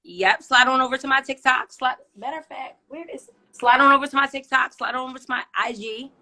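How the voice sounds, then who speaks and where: Bright-voiced woman founder as guest, relaxed and joking, keeps saying "listen". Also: sits high, a little fast. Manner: laid-back, playful, says "listen" a lot. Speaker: guest, wine brand founder. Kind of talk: casual chat.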